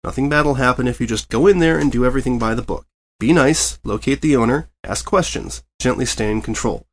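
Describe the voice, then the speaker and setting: A man plays a male pony newspaper reporter from a storybook, keyed up and anxious. He rambles nervously and leans into eager politeness, with his pitch a little raised. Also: a little fast, natural voice. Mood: self-coaching. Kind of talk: storybook character voice.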